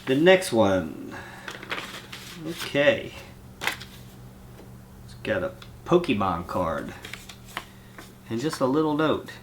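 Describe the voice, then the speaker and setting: A man's voice: medium pitch, sing-song, takes it slowly, long pauses, background noise. A man with a cold, congested and coughing now and then, chatting casually on his vlog and rambling from one thought to the next. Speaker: vlogger reading fan mail. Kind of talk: casual chat.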